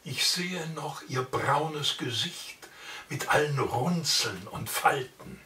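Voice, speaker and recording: Older man, dramatic poetry reading, very slow, bright gravelly voice, echoey room. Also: medium pitch, far from the mic. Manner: expressive, theatrical, varies loud and soft. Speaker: reader of a poem. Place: indoor room, plain wall.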